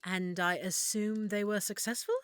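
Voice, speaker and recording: A woman playing an affectionate, excitable half-elf shopkeeper in a roleplay audio drama, speaking expressively and warmly to the listener. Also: medium pitch, moderate pace, clean tone, no room sound, lightly acted. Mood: intrigued, attentive. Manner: expressive, warm, reacting with feeling.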